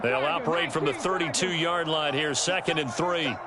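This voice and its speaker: A man's voice: on the high side, moderate pace, a loud crowd, close to an everyday voice. An American man voicing an upbeat TV football play-by-play announcer in a video game, with a polished broadcast delivery and punchy calls. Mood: steady, businesslike. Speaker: play-by-play sports commentator. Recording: loud music and game sounds behind. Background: loud music.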